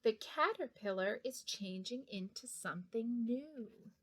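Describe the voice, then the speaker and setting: A woman voicing a kindly, reassuring father cat who explains calmly in a children's storybook. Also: a little high, measured pace.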